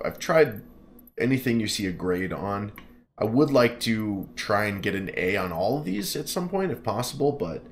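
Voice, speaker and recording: A man's voice: lowish voice, slight background noise. A man streaming a flight simulator, chatting casually and reading game text aloud with jokes and stumbles over place names.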